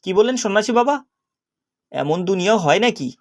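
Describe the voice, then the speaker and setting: A man plays a discontented young fisherman in a cartoon fable. His voice sits high for a male. He sounds naive and earnest, with a plaintive way of talking. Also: animated, a little fast. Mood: glum, wondering. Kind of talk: animated story character acting.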